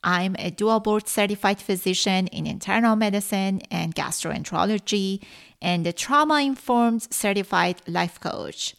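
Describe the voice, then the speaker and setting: A middle-aged woman, a native Farsi speaker from Iran, hosting her own podcast and telling her story earnestly with frequent pauses. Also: mid-range voice, vocal fry. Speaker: podcast host. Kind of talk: solo podcast monologue.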